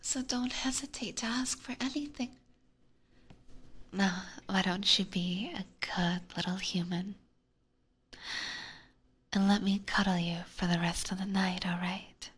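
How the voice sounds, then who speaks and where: A woman voicing an adoring, possessive vampire catgirl with sweet menace, whispering slowly and tenderly in an ASMR roleplay. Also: mid-range voice, a bit bright. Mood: sweetly menacing. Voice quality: breathy whisper. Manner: slow, tender, coaxing.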